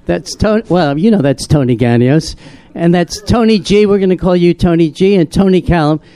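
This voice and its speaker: A man's voice: high voice, normal speed, warm tone, smooth. A man hosting a live onstage panel, chatty and teasing, laughing with his guests. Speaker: host moderating a live panel. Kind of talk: lively onstage Q&A, joking. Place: live theater event.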